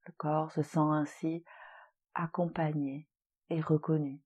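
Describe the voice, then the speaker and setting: A woman leading a guided relaxation meditation in a soothing, gentle, unhurried manner with long pauses. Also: deep voice, level tone, breathy edge, highs cut off. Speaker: yoga nidra relaxation guide.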